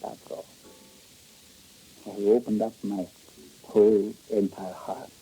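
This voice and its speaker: Very husky, wheedling greedy rogue. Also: male voice, fairly low pitch, flat delivery, dark tone, full character voice, low-quality recording. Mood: earnest, begging. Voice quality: husky, breathy. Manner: halting, pleading, ingratiating. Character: wheedling, greedy, melodramatic foreign male rogue. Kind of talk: old-time radio drama acting. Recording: old radio recording with faint music.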